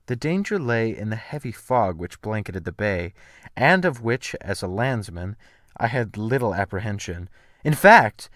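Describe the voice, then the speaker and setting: Forceful male audiobook narrator, dry sound. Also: fairly low pitch, no echo. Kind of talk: dramatic literary narration in the first person.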